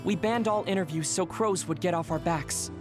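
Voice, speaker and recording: A man playing a polite, concerned young male officer in a video game, courteous and gentle. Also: high voice, moderate pace, over loud music, lightly acted. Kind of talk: video game cutscene voice acting.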